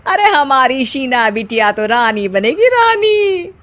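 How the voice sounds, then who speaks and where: Storybook bragging auntie, high drawn-out exclamations, voiced by a woman. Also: lively intonation, noisy background. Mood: boastful. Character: boastful chattering aunt of the bride.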